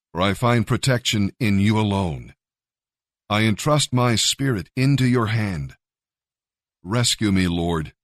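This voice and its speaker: A man reading scripture and devotional commentary from a script in a measured, earnest manner. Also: fairly low pitch, dry recording, studio quality. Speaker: Bible reader and devotional narrator. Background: music.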